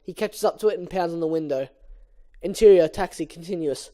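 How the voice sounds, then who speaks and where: A man's voice: a little high, brisk, warm tone. A man casually reading a film script aloud as an amateur, narrating the stage directions. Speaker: script reader.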